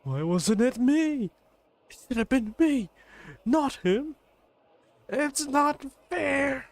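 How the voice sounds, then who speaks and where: A man's voice: very high-pitched, expressive, slow, creaky, no echo. A man streaming a game with casual live reactions, exclamatory and drawn out.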